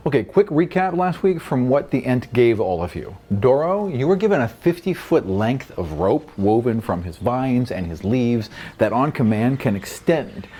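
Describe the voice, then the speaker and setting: Lively male game master narrating fantasy. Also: medium pitch, breathy edge.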